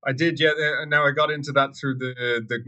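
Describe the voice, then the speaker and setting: A young Irish man answering interview questions in a thoughtful, measured way with some fillers. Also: medium pitch, steady tone, bright, forceful tone. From Ireland. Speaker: guest.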